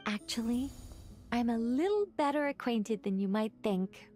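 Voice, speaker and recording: A high, girlish-toned angel woman from a video game, played by an actress. She speaks theatrically, with a playful, boastful streak, over light background music. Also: animated, normal speed, dark tone, natural voice. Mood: smug, playful. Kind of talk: scripted video game voice acting.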